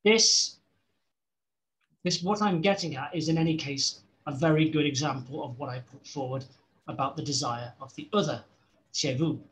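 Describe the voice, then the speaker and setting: A man's voice: fairly high pitch, normal speed, a bit bright, rough recording. A man with a Scouse accent reading a dense seminar text aloud over a video call, with frequent restarts and self-corrections. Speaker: study group member reading aloud.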